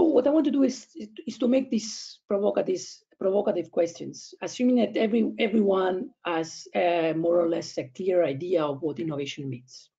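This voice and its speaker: A man in his thirties with an Italian and Spanish accent, presenting an online academic seminar in a halting way, with many fillers and restarts, over a video call from home. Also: sits high, vocal fry, warm tone. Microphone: laptop webcam, video call. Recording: dull lo-fi video call audio.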